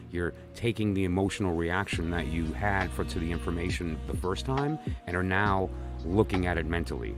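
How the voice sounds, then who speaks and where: A very low-voiced man from Brooklyn hosts a tarot livestream, talking loosely and circling back on himself with plenty of ums and restarts. Music plays clearly underneath him the whole time. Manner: rambling, lots of fillers and restarts. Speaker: tarot channel host. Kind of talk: casual livestream talk.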